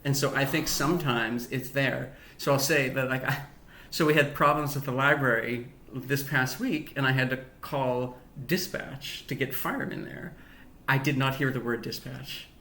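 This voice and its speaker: A man co-hosting a podcast in casual chat, talking haltingly with frequent restarts and easy laughs. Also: mid-range voice, steady tone, pushed and bright, roomy sound. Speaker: podcast co-host.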